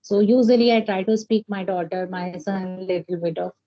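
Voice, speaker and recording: A woman from India, speaking English, pauses often and restarts her sentences. Her tone is dark and mellow. The audio sounds muffled, like an online call. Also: medium pitch, level tone. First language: Hindi. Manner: hesitant, many fillers and restarts. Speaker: English learner, homemaker. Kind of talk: casual conversation practice.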